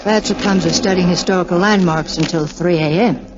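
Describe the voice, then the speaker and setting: A woman's voice: lowish voice, brisk, close to an everyday voice. An actress playing a businesslike, tart young woman in a 1940s radio drama. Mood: crisp, concerned. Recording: muffled 1940s radio recording, music behind.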